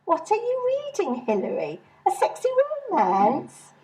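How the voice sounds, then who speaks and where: A woman's voice: sits high, wildly swooping pitch, measured pace, warm tone, far from the mic, exaggerated voice. A woman voicing an annoying, cheeky, teasing woman who is secretary of a club in a comic story. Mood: nosy.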